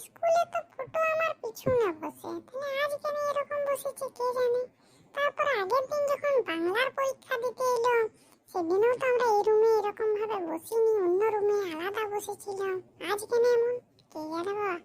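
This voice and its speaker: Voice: normal speed, background noise. An actor voicing a cheeky, grumbling schoolboy in a comedy cartoon, with a childlike, colloquial way of talking. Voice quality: high-pitched, childlike. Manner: colloquial, grumbling, mildly foul-mouthed. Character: cheeky grumbling schoolboy, unprepared for exam, scheming to cheat.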